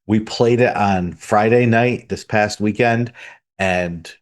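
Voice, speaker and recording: A man's voice: on the low side, slow pace. A man hosting a podcast in a conversational style. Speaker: podcast host.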